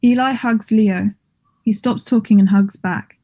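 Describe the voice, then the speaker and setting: A woman's voice: mid-range voice, unhurried, warm tone. A woman reading stage directions as scripted narration.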